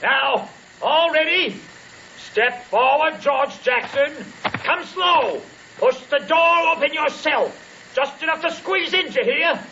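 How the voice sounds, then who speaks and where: An actor playing a stern, wary Southern patriarch of about sixty in an old radio drama, with commanding, folksy country speech. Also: male voice, extremely high pitch, lively intonation, takes it slowly, pushed and bright, full character voice. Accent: American Southern. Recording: dull, hissy old radio broadcast.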